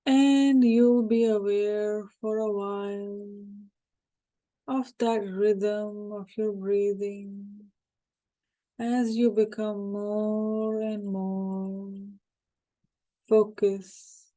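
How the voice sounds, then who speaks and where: Very slow, soft-toned woman guiding meditation. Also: mid-range voice. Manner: calm, unhurried, gently suggestive, short phrases with pauses. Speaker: hypnosis guide. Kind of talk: guided meditation, soothing hypnosis narration.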